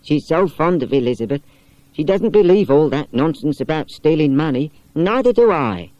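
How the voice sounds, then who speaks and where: A man's voice: on the high side, lively intonation, moderate pace, a little mellow, dry recording, lo-fi sound, pitched above the performer's own voice, lightly acted. A man voicing a loyal, talkative lady's companion in a storybook, earnest, emphatic and confiding. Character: loyal, talkative woman, companion to an old lady.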